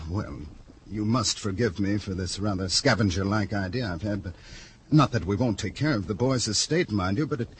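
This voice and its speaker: Actor playing an oily, greedy publisher. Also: male voice, lowish voice, normal speed, a little hiss or hum, close to an everyday voice. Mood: ingratiating. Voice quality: smooth, ingratiating, fussy. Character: oily, greedy middle-aged male publisher. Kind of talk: 1950s radio drama acting.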